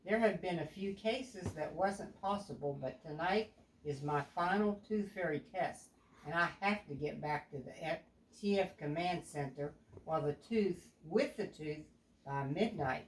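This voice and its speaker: A woman's voice: low-pitched, a little slow, far from the mic. A woman reading the part of an earnest, determined young male tooth fairy from a storybook, politely insistent. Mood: apologetic, insistent. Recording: echoey room with background noise.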